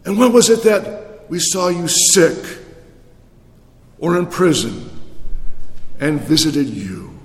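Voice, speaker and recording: A man preaching a sermon, animated, swinging between loud exclamations and low, drawn-out phrases. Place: large church. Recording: echoey long reverb of a church.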